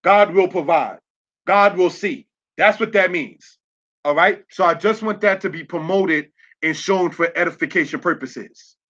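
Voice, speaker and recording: A man's voice: high-pitched, unhurried, fairly bright tone. A man giving a religious lesson over slides, spelling words out letter by letter and checking in with "all right?" as he goes. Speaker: religious teacher. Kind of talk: slide-based online lesson. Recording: dull lo-fi audio.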